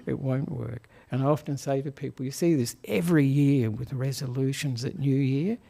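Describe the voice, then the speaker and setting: A soft-spoken Australian man giving a gentle Dhamma talk as a Buddhist monk, chuckling often and pausing on fillers. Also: medium pitch, steady tone, gravelly. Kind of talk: relaxed Dhamma talk to a lay audience. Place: meditation hall of a Buddhist society.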